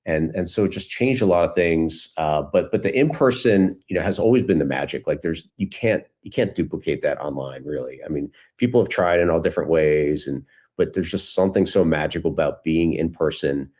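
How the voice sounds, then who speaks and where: Deep-voiced man telling stories casually. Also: brisk, darkish tone. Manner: rambling, restarts phrases often. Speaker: interview guest, entrepreneur. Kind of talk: casual conversational storytelling.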